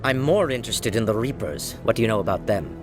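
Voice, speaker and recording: An actor playing a shrewd, doubting alien councillor in a video game, with a probing, dismissive manner. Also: male voice, fairly low pitch, sing-song, quick pace. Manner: probing, sceptical. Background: music.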